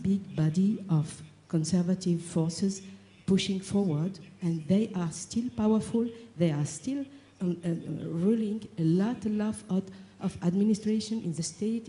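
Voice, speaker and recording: A woman from Tunisia puts a question to a conference panel in a fairly low, very dark and mellow voice. She is earnest and searches for her words, often repeating phrases as she goes. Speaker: audience member asking a question.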